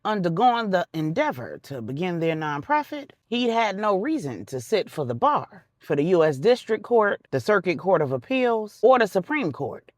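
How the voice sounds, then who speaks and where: A woman's voice: fairly low pitch, big pitch swings, normal speed, hardly any pauses, no room sound, clean studio sound. A woman narrating a scripted drama story for adults in a dramatic, colloquial storytelling manner with slangy touches.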